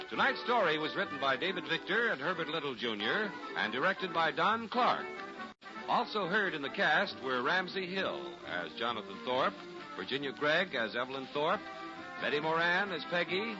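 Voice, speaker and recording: A man announcing on a 1940s radio show, with polished, scripted, salesmanlike delivery. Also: fairly high pitch. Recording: muffled 1940s radio broadcast recording.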